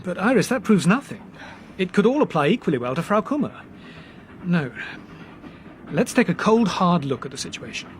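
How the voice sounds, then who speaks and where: An actor playing a brisk young Englishman who reasons deliberately and articulately, in a British radio drama. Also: high voice, big pitch swings. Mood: reasoning, brisk. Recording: noisy train background ambience.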